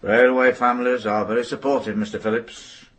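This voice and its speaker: An actor playing a protective, indignant railway union representative with a husky voice, formal and emphatic, in a radio drama. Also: male voice, medium pitch, level tone, brisk, a bit bright, a little hiss or hum, lightly acted. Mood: firm, protective. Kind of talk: naturalistic radio drama acting.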